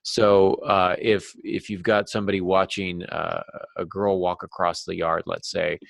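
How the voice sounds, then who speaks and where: A man speaking as a guest novelist in a podcast discussion, explaining craft deliberately and with examples. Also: sits low, little pitch movement, vocal fry, dry recording.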